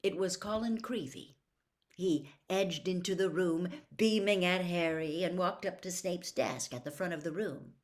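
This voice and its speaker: A woman reading a storybook aloud as an expressive narrator. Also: lowish voice, a little slow. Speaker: audiobook reader.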